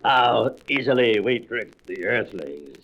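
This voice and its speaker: An actor playing an arrogant, boastful alien invader with deliberate speech in a comic book audio drama. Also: male voice, medium pitch, animated, a bit bright, exaggerated voice. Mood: gloating. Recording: dull old record with faint noise, clicks.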